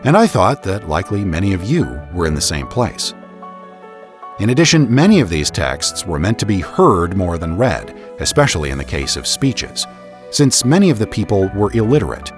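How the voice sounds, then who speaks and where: Narrator: American man, deep register, animated melody, trained and measured formal delivery for historical readings, music underneath. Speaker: podcast host and narrator. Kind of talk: scripted narration and dramatic reading of historical documents.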